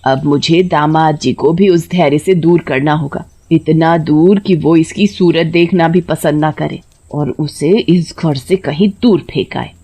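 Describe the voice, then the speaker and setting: A woman's voice: low voice, unhurried, hardly any pauses, warm tone, husky, full character voice. A woman voicing a scheming, spiteful grandmother in a cartoon story, with a dramatic, scolding manner. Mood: plotting. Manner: dramatic, scolding, wailing when feigning hurt.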